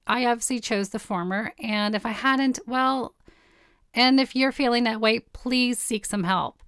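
A woman in her forties with a hoarse, partly lost voice, hosting a personal storytelling podcast in a candid, blunt way. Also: fairly high pitch, a little slow, fairly bright tone, no echo, studio quality. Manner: candid, blunt, swears casually. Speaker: podcast host.

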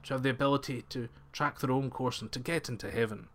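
A man reading a folk tale aloud as a storyteller, with deliberate, broken phrasing and pauses. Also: mid-range voice, steady tone.

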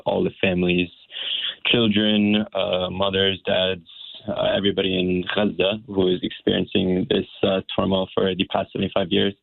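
Homem jovem de voz grave e rouca. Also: male voice, lowish voice, creaky, no echo, narrow, tinny audio. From United States. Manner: earnest, halting, many restarts. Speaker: guest. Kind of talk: personal account in interview.

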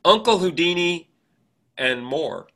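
A man's voice: fairly high pitch, expressive, slow, pushed and bright. A man co-hosting a podcast in casual, chatty conversation, often chiming in. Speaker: podcast co-host. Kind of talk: casual chat.

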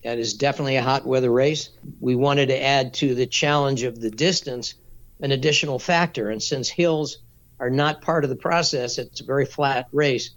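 Man, mid pitch, measured and explanatory guest in a casual interview. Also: fairly even intonation, a bit bright. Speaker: race director.

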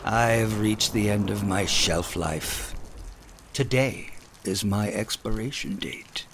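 A man's voice: fairly low pitch, a little hiss or hum. A man playing a melodramatic, menacing robot rabbit in a comic radio play, theatrical and easily irked. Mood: wistful, solemn. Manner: slow, theatrical, petulant when interrupted. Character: menacing, melodramatic male chocolate robot rabbit villain, wistfully dying.

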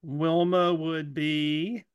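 A man co-hosting casual comic banter, haltingly searching aloud for his answers. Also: fairly high pitch, level tone, clear, resonant tone, no echo, clean studio sound. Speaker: podcast co-host.

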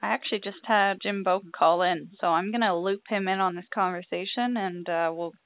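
A woman's voice: mid-range voice, fairly quick, no echo. Woman hosting on a tinny line. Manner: friendly and conversational, leading the talk. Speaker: podcast host. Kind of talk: casual interview hosting. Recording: dry, tinny phone-like sound.

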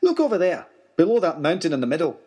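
Man doing excitable male professor, English accent, very high lively voice, delighted, exclaiming, storybook explorer. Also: quick pace, slightly rough, full character voice. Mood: elated. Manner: exclaiming, eager.

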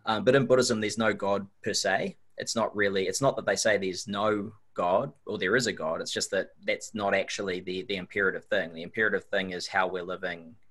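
A man's voice: low voice, fairly even intonation, a little fast, few pauses, a bit bright. A man with a New Zealand accent, a guest on a podcast, answering in an easygoing, reflective way. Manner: easygoing, reflective, some repeated words. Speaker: guest, nutrition researcher. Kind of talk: casual interview chat.

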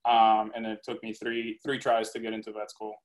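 A young man from Colombia, a native Spanish speaker, talking casually and haltingly as a student guest on a panel. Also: on the low side, completely flat delivery, rough recording. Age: young adult. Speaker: veterinary student, podcast guest.

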